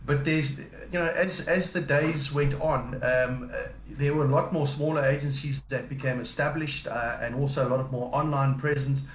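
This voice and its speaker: A man's voice: mid-range voice, fairly even intonation, moderate pace, few pauses, reverberant, a little hiss or hum, low-quality recording. A South African man answering interview questions, hesitant and full of ums and uhs. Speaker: business development and account manager.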